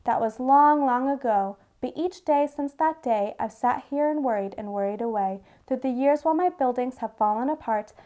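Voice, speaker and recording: A woman reading a rhyming children's story aloud with expressive narration. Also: sits high, moderate pace, few pauses. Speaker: storybook reader.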